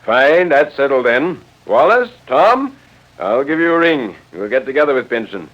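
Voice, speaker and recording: An actor playing an assured, influential gentleman, polite and pragmatic, in 1940s radio drama style. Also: medium pitch, big pitch swings, moderate pace, pitched above the performer's own voice, close to an everyday voice. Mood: assured, businesslike. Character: assured, influential, businesslike gentleman taking charge. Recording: dull old radio recording.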